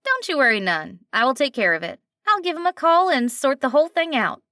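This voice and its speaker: A friendly, worn-out cashier in her forties, as a woman reader puts her on, with a slight nasal twang. She talks in a folksy, reassuring way, pitched well above the reader's own voice. The recording is close and dry. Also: very animated, brisk, bright, forceful tone, no room sound, exaggerated voice. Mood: kindly reassuring. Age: 40s. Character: friendly tired middle-aged woman cashier.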